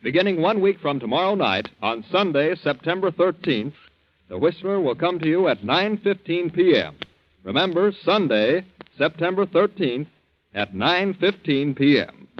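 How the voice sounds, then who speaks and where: Lively, higher-voiced male radio announcer. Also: normal speed, slight background noise. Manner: formal, emphatic. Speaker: network announcer. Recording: muffled old radio recording. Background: loud theme music.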